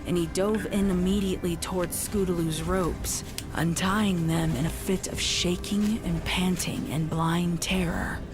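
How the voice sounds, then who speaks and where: A woman reading a dark story in a dramatic, deliberate and suspenseful way. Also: on the low side, fairly even intonation. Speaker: audiobook narrator of fan fiction. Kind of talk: dramatic scripted story narration. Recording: loud music under the voice. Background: music.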